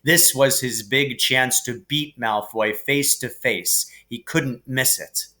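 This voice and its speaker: A man narrating a children's fantasy novel aloud in an expressive storytelling manner. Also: mid-range voice, slow pace. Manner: expressive, character voices for dialogue. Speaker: reader, teacher reading aloud.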